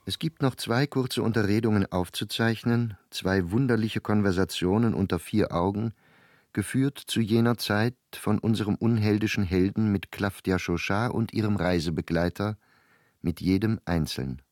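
A composed male narrator played by an actor with a low voice in a very dry recording. He reads literary prose for a radio play, measured and gently ironic. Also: no room sound, lightly acted. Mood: measured, wry.

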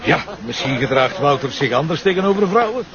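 Actor as a lively, wry Flemish police commissioner. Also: male voice, on the high side, a little fast, lightly acted. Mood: reassuring. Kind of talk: naturalistic TV crime drama acting. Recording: muffled TV soundtrack with clear background noise.

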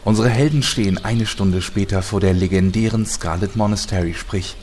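A man's voice: on the low side, normal speed, a little mellow, a little hiss or hum, pitched below the performer's own voice, close to an everyday voice. A man narrating a game parody radio play in a solemn, mock-epic way. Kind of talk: scripted narration.

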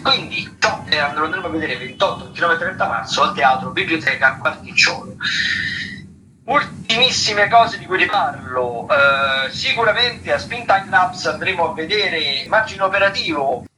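Higher-voiced man, halting radio chat. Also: expressive, sharp tone, a little hiss or hum. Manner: halting and digressive, rephrasing as he goes. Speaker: radio theatre critic reviewing and listing shows. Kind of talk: informal spoken radio rundown of theatre listings. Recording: distant radio mic with music behind. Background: music.

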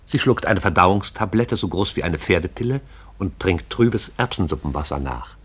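A man narrates a poetic radio drama in a lyrical, measured way. His voice is very deep and somewhat husky. He lingers over the images and savours them. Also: animated, fairly bright tone.

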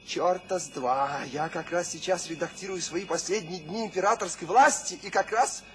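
An actor playing a feverish, bitter, sardonic poet in a theatrical, declamatory Soviet radio drama style. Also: male voice, sits high, normal speed, faint music behind, close to an everyday voice. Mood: bitter, defiant.

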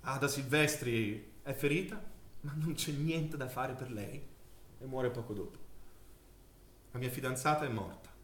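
An actor playing a distraught survivor of a wartime massacre, testifying in a halting theatrical monologue that swings from hushed to loud. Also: male voice, medium pitch, roomy sound, a little hiss or hum, natural voice. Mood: grief-stricken, subdued. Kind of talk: theatrical monologue in a radio-broadcast stage play.

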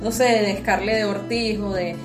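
A woman's voice: medium pitch, fairly even intonation, moderate pace. A woman chatting casually as a podcast co-host. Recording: roomy echo, music behind the voice. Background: background music.

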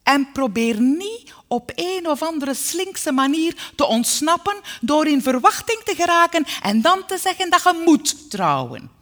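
A woman's voice: high voice, sing-song, measured pace, fairly bright tone, voice pushed up, heavily acted. A woman voicing a domineering, scheming Flemish mother who commands her household in a firm, biting manner. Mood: commanding, scolding.

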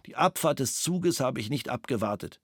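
Measured man reading a statement, dry studio. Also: mid-range voice, lively intonation, dry recording. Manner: measured, matter-of-fact. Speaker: reader of a statement. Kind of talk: scripted reading of a witness statement.

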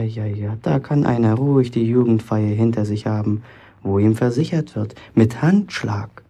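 A cocky young bricklayer's apprentice in a radio play. His voice is husky with a creaky edge. He talks with boastful, indignant self-importance. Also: mid-range voice, mellow. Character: cocky, grumbling fifteen-year-old apprentice bricklayer boy. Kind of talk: German radio drama acting.

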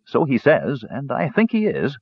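A man voicing a kind, steady male half-elf who speaks gently to his companions. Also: medium pitch, moderate pace, breathy edge, no room sound, voice pushed up, full character voice. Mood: gentle. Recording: dull dry recording.

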